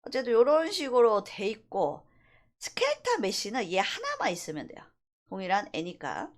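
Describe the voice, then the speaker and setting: A woman instructor giving a casual, explanatory screen-recorded software tutorial, slipping between polite and plain speech. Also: mid-range voice, wildly swooping pitch, normal speed. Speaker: animation instructor.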